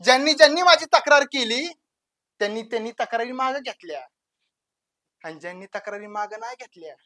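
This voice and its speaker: A woman playing a brazen, menacing village gangster in a dramatic monologue, with a rural western Maharashtra accent and a taunting, swaggering manner that swings between hushed and loud. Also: on the high side, lively intonation, fairly quick. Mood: boastful menace. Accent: rural western Maharashtra Marathi.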